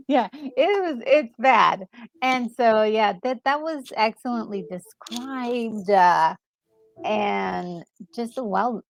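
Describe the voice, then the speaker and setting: A woman co-hosting a casual gaming chat, talking in an excitable, emphatic way and often repeating herself. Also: medium pitch, vocal fry, low-quality recording. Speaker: gaming channel co-host. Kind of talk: casual reaction chat about a game story. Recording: music playing behind the voice. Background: background music.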